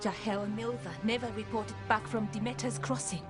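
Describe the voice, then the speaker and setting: A woman performs a female elf scout leader for a fantasy game. The voice sits on the high side, grave and urgent, as if worn by command. A prominent score plays behind the dialogue. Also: steady tone, normal speed, music in the background, close to an everyday voice. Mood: grave, worried. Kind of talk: dramatic video game cutscene acting.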